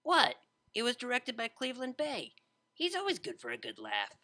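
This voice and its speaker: A man voicing a brash, laid-back young female unicorn DJ with slangy, excitable delivery in a story reading. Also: very high-pitched, moderate pace, pitched above the performer's own voice, heavily acted. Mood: brash, defensive. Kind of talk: fan fiction audiobook character voice.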